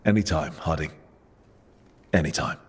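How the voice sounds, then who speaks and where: An actor playing a steady, supportive and slightly wry male team leader in a video game. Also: very deep voice, moderate pace, slightly rough, exaggerated voice. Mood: reassuring. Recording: long reverb, faint music.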